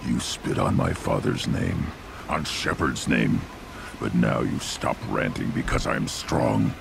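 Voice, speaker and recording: A man playing a young, proud, belligerent alien warrior in a video game, blunt and cocky with a very deep growl. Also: expressive, slow, vocal fry, noisy background, heavily acted. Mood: defiant. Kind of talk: dramatic video game acting.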